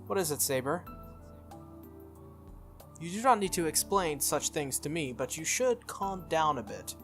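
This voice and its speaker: A young female warrior from a visual novel, voiced by a game streamer, speaks calmly and formally. Her words are measured, and music plays behind her. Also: medium pitch. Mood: calm advising. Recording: music under the voice.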